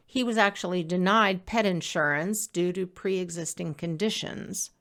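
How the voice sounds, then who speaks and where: A woman reading her own blog post aloud as scripted personal narration, in a conversational, expressive storytelling manner. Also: lowish voice.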